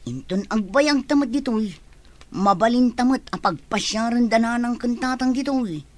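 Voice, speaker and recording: Woman acting high-pitched self-interested Ilocano wife. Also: moderate pace, close to an everyday voice. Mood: scheming. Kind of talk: Ilocano radio drama acting.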